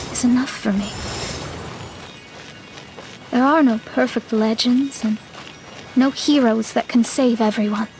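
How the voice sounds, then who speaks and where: A woman playing a proud, earnest young female astrologist in a video game, speaking in a measured, articulate, reflective way. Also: a little high, a little mellow, natural voice, rough recording. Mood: solemn, resolute. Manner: measured, articulate, solemn dramatic delivery. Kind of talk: video game cutscene voice acting.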